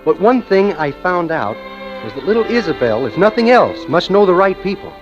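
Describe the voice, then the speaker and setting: Casting: obsessive, smitten American bank clerk, lively highish voice, narrating vintage radio drama over music on a muffled recording. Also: male voice, normal speed. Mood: wry, puzzled. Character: smitten, obsessive male bank clerk telling his story. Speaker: narrator and lead actor.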